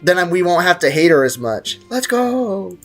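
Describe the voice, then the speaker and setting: Young streamer, medium pitch, casual rambling chat, joking and mock-indignant, faint game music. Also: very animated, unhurried. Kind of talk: casual livestream game commentary, chatting with viewers.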